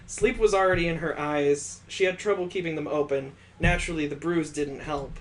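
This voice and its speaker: A man's voice: a little high, a little hiss or hum. A man hosting a let's play, reading a visual novel aloud expressively with sarcastic asides.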